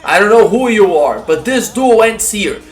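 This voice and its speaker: Man playing high-pitched animated young duelist hero. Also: moderate pace, poor audio. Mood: commanding. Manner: declamatory, announcing attacks. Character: determined young male card duelist hero. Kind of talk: animated anime-style battle roleplay acting. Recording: loud background music.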